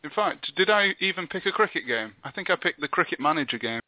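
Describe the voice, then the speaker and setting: Male podcast co-host, slightly high voice, jokey banter, dry phone-quality sound. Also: lively intonation, fast, no room sound. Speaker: co-host interviewing a guest on a gaming show. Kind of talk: casual podcast chat. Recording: thin phone-like internet radio sound.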